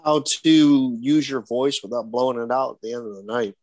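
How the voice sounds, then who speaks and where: A man's voice: medium pitch, normal speed, a little mellow, dry recording. A man who acts at haunted attractions, talking casually on a panel and rambling with many fillers and restarts.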